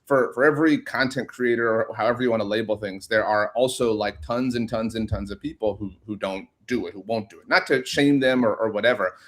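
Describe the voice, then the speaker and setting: Encouraging man, slightly low voice. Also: a little fast, hardly any pauses, a bit bright. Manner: thoughtful, encouraging, lots of "like". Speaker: guest. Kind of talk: casual podcast chat.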